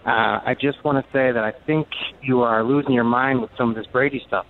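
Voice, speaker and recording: A man's voice: mid-range voice, steady tone, brisk. A man calling in to a sports radio show over a muffled phone line, arguing insistently with the host. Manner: insistent, argumentative. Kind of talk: call-in debate.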